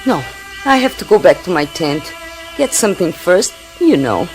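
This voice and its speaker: A woman's voice: fairly low pitch, very animated, moderate pace, faint music behind, poor audio. A coy, teasing young woman. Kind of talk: radio drama acting.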